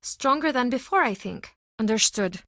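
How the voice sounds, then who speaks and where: A woman's voice: a little high, lively intonation, normal speed, a bit bright, no room sound, clean studio sound. An actress playing a proud, guarded townswoman nursing old wounds in a video game. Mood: guarded, regretful.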